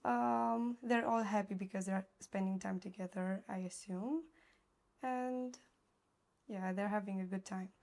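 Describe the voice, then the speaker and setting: A woman's voice: mid-range voice, fairly even intonation, a little slow, gravelly, a little mellow. A young woman from Romania with a Romanian accent, giving short, plain answers as an exam candidate.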